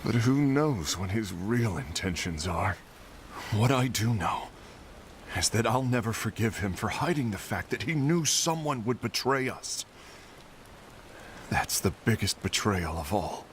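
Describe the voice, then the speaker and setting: An actor playing a proud, bitter warrior in an animated fantasy game, brooding and defiant. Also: male voice, fairly low pitch, a little slow, a little hiss or hum. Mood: grave, resentful. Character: proud, bitter male warrior who feels betrayed by comrades.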